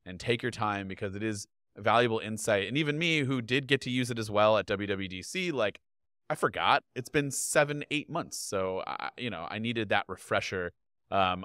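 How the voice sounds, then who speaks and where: A man in his thirties, a guest on a video podcast, talking casually and animatedly into a boom microphone in his home studio. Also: normal speed, smooth, dry recording. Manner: animated, gestures a lot while talking. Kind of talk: casual chat. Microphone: podcast mic on boom arm.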